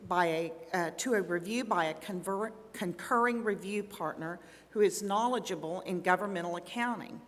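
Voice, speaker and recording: A woman acting as a composed, confident auditor in a staged role-play. Her voice is mid-pitched and formal, deliberate in its phrasing, and carried by the long reverb of a hall. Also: lightly acted. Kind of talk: scripted role-play dramatization.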